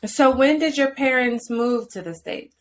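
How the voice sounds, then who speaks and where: A woman hosting a casual interview show, playful and quick to laugh as she asks questions. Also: high-pitched, lively intonation. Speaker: podcast host.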